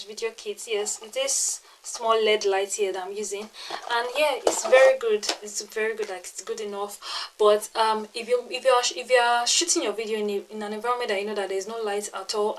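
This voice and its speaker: A woman's voice: medium pitch, fairly even intonation, moderate pace, poor audio. Chatty young woman with a Nigerian accent. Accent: Nigerian English. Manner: chatty, repeats herself for emphasis, frequent ums. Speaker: tech and lifestyle YouTuber. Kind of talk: casual tutorial to camera. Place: plain-walled bedroom, indoors. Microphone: small external clip-on mic.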